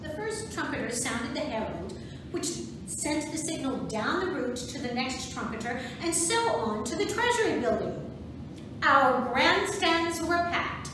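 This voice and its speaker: A volunteer woman reader playing a proud, theatrical suffragist organizer in an amateur reader's theatre piece, with a declamatory, measured delivery. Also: high voice, expressive. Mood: storytelling. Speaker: volunteer reader in a museum reading play. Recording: echoey, noisy room recorded from far off.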